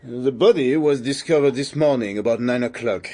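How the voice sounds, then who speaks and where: A man's voice: mid-range voice, moderate pace, mellow, lightly acted. An actor playing a formal, gaunt male magistrate questioning people in a radio drama.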